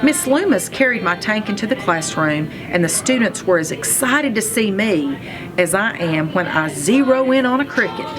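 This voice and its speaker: A woman reading a children's chapter book aloud, telling a first-person story with expressive, deliberate emphasis. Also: mid-range voice. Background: music.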